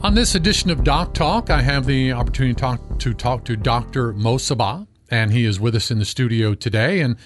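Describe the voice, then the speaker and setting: American male radio host, conversational, medium pitch. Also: expressive, a little fast, few pauses, music in the background. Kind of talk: conversational interview. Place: radio studio.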